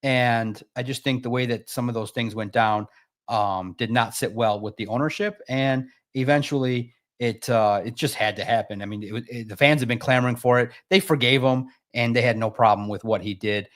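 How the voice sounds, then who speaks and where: A man's voice: medium pitch, steady tone, normal speed. A man arguing his case as a guest on a sports talk show, needling and emphatic. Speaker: guest pundit. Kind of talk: casual sports debate.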